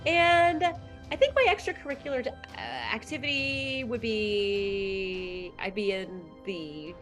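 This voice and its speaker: A woman with a slightly high voice talks slowly as a player in a roleplaying group. She is casual and a bit hesitant, with faint music behind her. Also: fairly bright tone. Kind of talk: casual chat.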